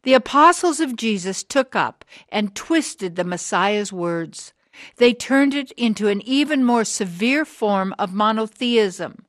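A woman acting in a radio drama as a hard, driven woman of sixty who preaches in a deliberate, rhetorical way. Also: mid-range voice, expressive, dry recording, clean studio sound, close to an everyday voice. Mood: indignant. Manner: deliberate, rhetorical, emphatic pauses. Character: hard, driven sixty-year-old woman, bitter anti-church preacher.

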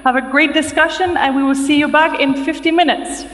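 A woman's voice: sits high, fairly even intonation, normal speed, a bit bright. A middle-aged woman presenting a live online event cheerfully as host, on a headset mic. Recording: echoey event room.

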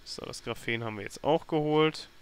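A man with a mid-pitched voice hosts a let's play. He thinks aloud in a casual way as he plays. His commentary tends toward grumbling and dry sarcasm. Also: a little fast, clean tone. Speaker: let's player hosting a gameplay series.

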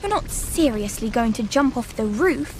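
A woman playing a sharp young daughter in a dramatic video game, girlish, probing and doubtful. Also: a little high, expressive, normal speed, close to an everyday voice. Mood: alarmed.

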